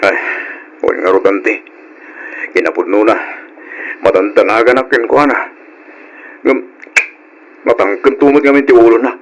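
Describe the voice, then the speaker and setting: A man's voice: mid-range voice, steady tone, normal speed, vocal fry, pauses often, close to an everyday voice, poor audio. A man playing a worried, responsible older brother with an Ilocano accent in a radio drama, earnest and admonishing. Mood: troubled, apologetic. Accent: Filipino, Ilocano.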